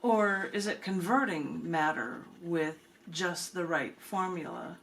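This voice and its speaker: A woman reading spoken-word poetry in measured, deliberate phrases with dramatic pauses. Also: on the low side, vocal fry, fairly bright tone, a little hiss or hum, low-quality recording. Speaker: poet reading own work, host of the reading.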